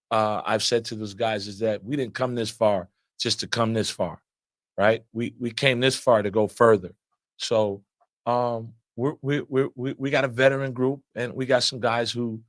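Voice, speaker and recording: A man, an assistant basketball coach, answering press questions in a loud voice, repeating words as he builds his points. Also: mid-range voice, dry recording. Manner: repeats words often while building answers, steady and earnest.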